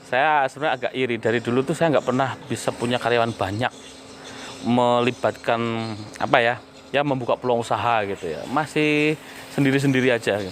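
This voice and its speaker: A man hosting an online interview in casual chat, talking in a lively way and often rising in surprise. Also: mid-range voice, fairly quick. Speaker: YouTube host interviewing a guest. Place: on site at a home cracker business.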